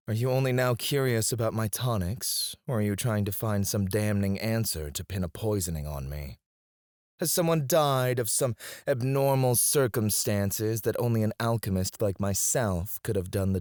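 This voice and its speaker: A man's voice: on the low side, a little slow, a little mellow, no echo, studio quality, close to an everyday voice. An actor playing a haughty, sarcastic, prickly and flirtatious male court alchemist in an ASMR fantasy roleplay, with a sardonic drawl. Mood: mocking, irritated. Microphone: close studio mic, dry.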